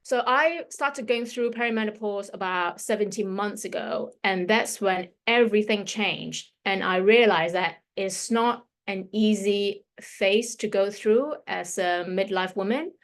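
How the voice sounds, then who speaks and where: A woman's voice: mid-range voice, unhurried, hardly any pauses. Chatty fifties woman, Hong Kong-London accent. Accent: Hong Kong and London English. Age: 50s. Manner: chatty, loose, with fillers and restarts. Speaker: fashion stylist leading a workshop. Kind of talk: casual presentation to an audience.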